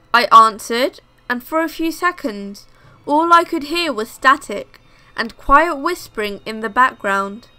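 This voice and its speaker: A woman reading first-person scary stories aloud as scripted narration, in a measured storytelling manner. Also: high voice, sharp tone, smooth.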